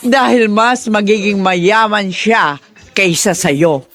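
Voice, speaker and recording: An actress playing a scheming, greedy middle-aged Filipino madam of a nightclub in a radio drama, with a brash, coaxing way of talking. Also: female voice, mid-range voice, very slow, a bit bright, soft background music. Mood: smug, scornful.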